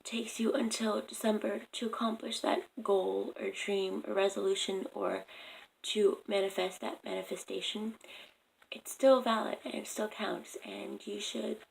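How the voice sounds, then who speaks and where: A young woman vlogging at home on her phone camera, speaking softly in a raspy, half-whispered morning voice. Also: mid-range voice, little pitch movement, a little slow, poor audio. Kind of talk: casual advice vlog.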